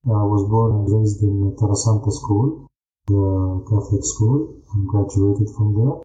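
Male history teacher, Palestinian Arabic accent, deliberate interview delivery, dark muted tone, roomy echo. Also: fairly low pitch, steady tone, lo-fi sound. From Jaffa. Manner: deliberate, phrase by phrase, non-native English phrasing. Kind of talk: interview answers.

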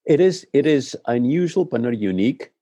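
A middle-aged man from Spain, whose first language is Spanish, speaking in a relaxed interview and telling his story thoughtfully, often restarting his sentences. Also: mid-range voice, normal speed, no echo. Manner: reflective storytelling with frequent restarts. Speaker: head of school, guest. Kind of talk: conversational interview.

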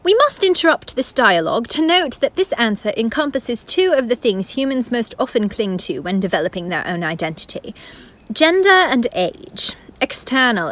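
A woman narrating a radio play as a formal, philosophical storyteller with a measured, lecturing manner. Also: on the high side, fairly bright tone. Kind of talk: scripted literary narration.

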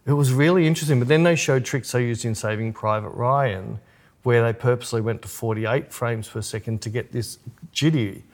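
Gravelly-voiced man chatting casually. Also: medium pitch, brisk. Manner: chatty, animated when explaining. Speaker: podcast co-host. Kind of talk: casual chat.

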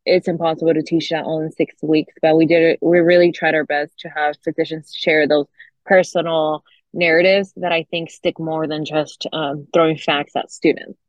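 A woman's voice: sits low, flat delivery, moderate pace, no room sound. A young woman from Argentina whose first language is Spanish, a medical student answering podcast interview questions earnestly and enthusiastically. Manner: earnest, enthusiastic, with fillers and restarts. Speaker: medical student, program co-founder. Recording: dull dry lo-fi sound.

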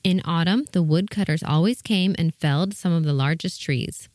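Narrator, female, lower-than-average register, reading a scripted children's fairy tale in a dry, close recording. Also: normal speed, darkish tone, no room sound. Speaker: story narrator.

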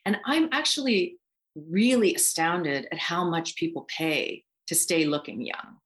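A middle-aged woman with a slightly low voice chats casually as an interview guest. She talks informally, often quoting her own reactions as she explains things. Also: unhurried, fairly bright tone. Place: home recording space, remote.

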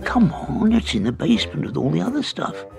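A man's voice: on the high side, wildly swooping pitch, a little fast, vocal fry, over music, voice pushed up, full character voice. A man doing a shy, nerdy teenage girl. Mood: eager. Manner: hesitant, stammering, eager. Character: shy, clever teenage schoolgirl. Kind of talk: audiobook character reading.

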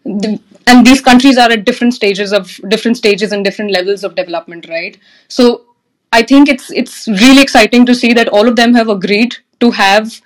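A woman's voice: on the high side, poor audio. A woman speaking as an energy expert on a discussion panel, enthusiastic, with frequent fillers and restarts. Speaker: panel guest, energy manager.

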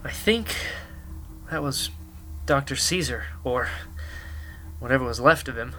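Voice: mid-range voice, measured pace, sharp tone. An actor playing a nervous, inquisitive explorer in a sci-fi radio drama, with a hesitant, questioning manner. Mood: shaken. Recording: music behind the voice.

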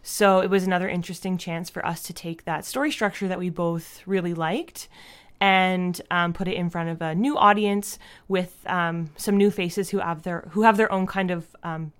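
A woman answering interview questions as a guest in a casual, thoughtful and halting way, often saying "like". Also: on the low side, steady tone, clear, resonant tone. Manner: thoughtful, halting, frequent "like" as a verbal habit. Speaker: podcast creator and writer, interview guest.

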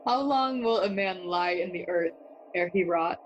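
An actress playing young Hamlet, a witty, brooding and curious noble, in a theatrical bilingual Spanish-English Shakespeare audio play. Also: female voice, fairly low pitch, normal speed, dull audio, slight background noise, lightly acted. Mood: inquisitive. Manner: musing, questioning, theatrical.

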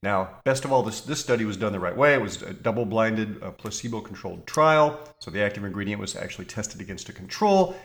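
A man's voice: fairly low pitch, lively intonation, fairly quick, hardly any pauses. Dry, joking male podcast co-host. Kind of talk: casual science podcast chat.

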